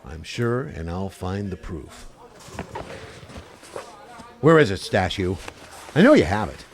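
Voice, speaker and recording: A man's voice: low-pitched, big pitch swings, slow, a little hiss or hum, full character voice. A man playing a tough, unyielding homicide cop with a wry streak in a radio play, blunt and authoritative. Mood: stern, forceful.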